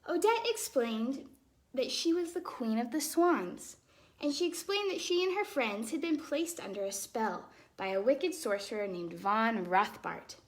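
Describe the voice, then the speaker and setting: A woman hosting storytime, reading a fairytale aloud to children expressively, with casual explanatory asides in a softer, chatty manner. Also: fairly high pitch, big pitch swings, a little slow. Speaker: storytime host and ballet dancer.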